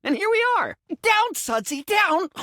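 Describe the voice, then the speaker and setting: A melodramatic cursed fellow stuck as a household sink for a video game. The voice leaps wildly up and down as he rambles theatrically, flustered yet absurdly deadpan. It is recorded very dry, with no room around it. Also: very high voice, measured pace, dry recording, full character voice. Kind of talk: video game character voice acting.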